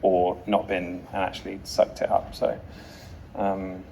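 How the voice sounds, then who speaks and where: A man's voice: low voice, flat delivery, measured pace, gravelly, warm tone, slight background noise, rough recording. A man in his thirties, an interview guest, talking casually with a dry, wry humour. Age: 30s.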